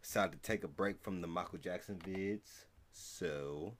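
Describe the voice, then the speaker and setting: Low-voiced young American man. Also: unhurried, slight background noise. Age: young adult. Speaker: reaction video host. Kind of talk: casual reaction commentary. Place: small room at home, indoors.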